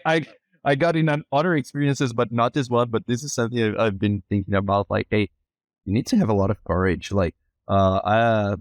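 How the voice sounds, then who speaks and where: A man from southern Brazil who speaks English as a second language. He hosts a podcast and talks in a halting, friendly way with many fillers and restarts. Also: fairly low pitch, no room sound. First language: Portuguese. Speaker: podcast host. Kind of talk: casual interview chat.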